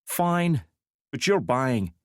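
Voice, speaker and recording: A scrappy young woman operative who loves a good fight and talks bluntly and mouthily, voiced by a male narrator. The pitch is lifted high over his own voice. The sound is dry and close. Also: big pitch swings, drawn-out words, no echo.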